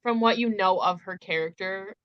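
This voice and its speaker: A woman's voice: medium pitch, moderate pace, smooth, no echo, muffled recording. A woman hosting a casual book discussion, retelling in an emphatic, conversational way peppered with filler words.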